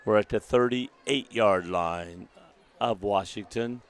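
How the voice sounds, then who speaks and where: A man with a deep, gravelly voice, calling a live local high school football game in a folksy manner while recovering from a lost voice. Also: takes it slowly. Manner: folksy, excitable on big plays. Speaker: play-by-play announcer. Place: outdoor stadium press box. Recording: outdoor stadium broadcast.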